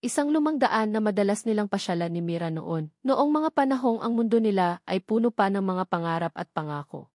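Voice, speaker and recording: A woman's voice: medium pitch, expressive, fairly quick, a little mellow, no echo, clean studio sound. A woman reading scripted dramatic story narration as an audiobook narrator.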